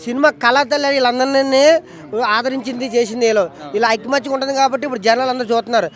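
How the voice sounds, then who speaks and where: A man gives his opinion on the street. His voice is strikingly high and he talks quickly. He is emphatic and wordy, often posing rhetorical questions. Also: steady tone, fairly bright tone. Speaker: member of the public giving opinion.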